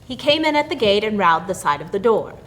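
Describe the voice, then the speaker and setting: Female storyteller: expressive narration, very varied intonation, dramatic and deliberate phrasing. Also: medium pitch, a little hiss or hum.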